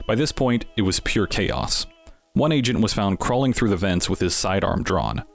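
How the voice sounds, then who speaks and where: A man's voice: lowish voice, expressive, moderate pace, gravelly, soft background music. A man giving scripted narration as the host of an explainer series, with wry, dryly humorous asides.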